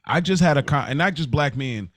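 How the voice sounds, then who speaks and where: A man's voice: fairly high pitch, expressive, brisk, fairly bright tone, no echo. A man co-hosting a podcast, chatting casually and informally, repeating phrases for emphasis. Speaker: podcast co-host. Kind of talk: casual, unscripted podcast conversation.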